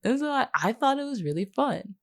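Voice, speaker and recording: A woman's voice: a little high, sing-song, brisk. A woman chatting casually in a wry, teasing way as a podcast co-host. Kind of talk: casual behind-the-scenes podcast chat.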